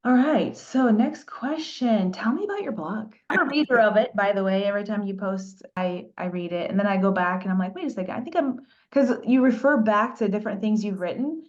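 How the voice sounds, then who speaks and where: A woman's voice: mid-range voice, brisk, few pauses. A woman hosting an interview, chatty and upbeat in an informal way. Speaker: interview host. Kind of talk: casual interview chat. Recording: dull lo-fi audio.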